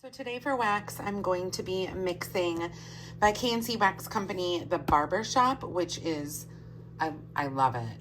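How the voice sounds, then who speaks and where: A woman chatting casually to her camera as a home vlogger, a bit stuffy-nosed, rambling along with soft asides. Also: fairly low pitch, measured pace, a little hiss or hum, poor audio. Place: kitchen at home, indoors.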